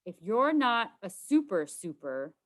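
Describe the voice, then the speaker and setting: A woman's voice: medium pitch, big pitch swings, measured pace, fairly bright tone. A woman voicing a protective schoolboy in a children's storybook, insistent, exasperated and prone to bluffing. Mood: accusing. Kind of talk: children's storybook read-aloud.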